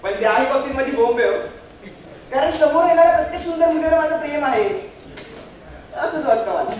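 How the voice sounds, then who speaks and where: A man's voice: very high-pitched, expressive, fairly quick, a little mellow. A man playing a reluctant young bachelor, cynical about marriage, declaiming argumentatively in a stage play. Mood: wry, declaiming. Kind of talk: theatrical stage monologue and dialogue. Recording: echoey stage hall from far, faint noise.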